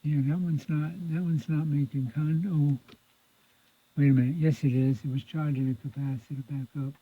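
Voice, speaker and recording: A man giving an informal, folksy repair demonstration, thinking aloud in a halting mutter as he works. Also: fairly high pitch, fairly even intonation, slightly rough, dry recording. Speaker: radio repair hobbyist presenting own repair video. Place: indoors at a repair workbench. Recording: muffled phone-like audio, lo-fi.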